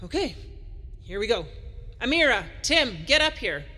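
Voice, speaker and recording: Brash, forceful space captain. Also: female voice, fairly high pitch, sing-song, normal speed, full character voice. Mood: confident, commanding. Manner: commanding, combative. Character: bossy, brash female space pirate captain. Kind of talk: audio drama acting.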